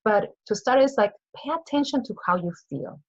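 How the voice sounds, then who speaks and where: A woman's voice: fairly high pitch, lively intonation, normal speed, darkish tone. Peruvian-accented woman, earnest, lo-fi sound. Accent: Peruvian Spanish. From Peru. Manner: earnest, repeats phrases, acts out inner voices. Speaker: guest coach. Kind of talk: casual interview chat.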